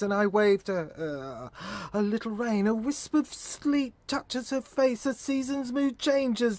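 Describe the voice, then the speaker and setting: Guy playing haunted feverish dreamer, high voice, slow broken poetic lines, repeats himself, audio drama monologue. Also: a little mellow, no room sound. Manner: halting, repeats words, fragmented incantatory phrasing. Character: haunted, feverish male visionary recalling a lost woman.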